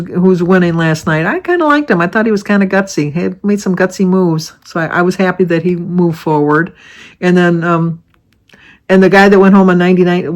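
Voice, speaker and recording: A woman in her seventies talks to her viewers in a loose, wandering way, drifting from one subject to the next. Her voice has a slight rasp. She sounds relaxed and chatty, speaking from home. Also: lowish voice. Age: 70s. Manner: rambling, chatty, wanders off topic. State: slightly raspy voice. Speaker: vlogger. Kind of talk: casual chat to camera.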